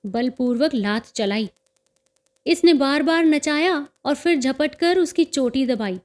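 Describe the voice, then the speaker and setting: Woman reading as cheerful teenage dandy, boastful, high-pitched, unhurried, excitable storyteller, audiobook. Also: exaggerated voice. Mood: excited, proud.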